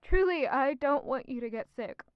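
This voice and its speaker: A woman's voice: high voice, lively intonation, normal speed, no echo, highs cut off. An actress in an audio drama playing a guarded, evasive young noblewoman who claims to be unwell, answering reluctantly.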